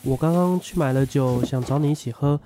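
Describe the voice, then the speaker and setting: A man's voice: mid-range voice, a little fast, mellow, faint music behind, lightly acted. A Taiwanese man acting a whiny, grumbling young military conscript in a radio drama. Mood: weary.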